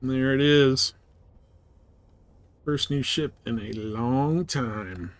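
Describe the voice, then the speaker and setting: A man streaming a video game, with a medium-pitched voice. He talks casually and in a laid-back way, thinking aloud in short fragments as he plays. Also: very slow. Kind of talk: casual live gameplay commentary.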